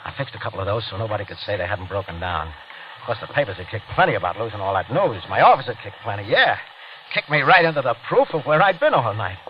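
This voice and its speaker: A man's voice: on the low side, very animated, fast talker. An actor playing a nervous, scheming repairman with an American accent and a stammering, confiding manner, in a 1940s radio thriller. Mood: tense, confiding. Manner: stammering, jittery, confiding in narration. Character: nervous guilty night-shift teletype repairman, scheming and evasive. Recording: muffled, noisy old radio recording.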